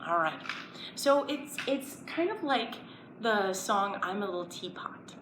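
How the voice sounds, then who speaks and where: Female children's presenter, lively swooping pitch, playful question-and-answer teaching, echoey recording with some background noise. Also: measured pace. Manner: playful, asking young viewers simple questions and answering them. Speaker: children's story-time presenter. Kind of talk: children's educational story time, explaining and reading aloud. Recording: roomy echo, background noise.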